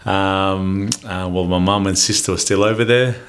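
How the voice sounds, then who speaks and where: A very deep-voiced Australian man in his forties speaks as a podcast guest. He talks in a laid-back, rambling way, scattering ums and calling people mate. The talk is loose, easygoing chat. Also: steady tone, moderate pace, fairly bright tone. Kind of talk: casual interview chat.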